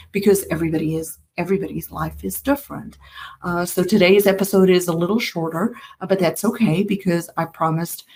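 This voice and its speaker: A woman's voice: fairly low pitch, a little hiss or hum. A woman from Africa, hosting a solo educational podcast and explaining things earnestly, often repeating her key points. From South Africa. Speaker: podcast host.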